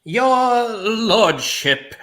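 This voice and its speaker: Stiff, dour comic gentleman played by a man, high and swooping voice, slow delivery, echoey room. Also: expressive, a little hiss or hum, exaggerated voice. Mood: awestruck. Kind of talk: live cold table read of a stage comedy.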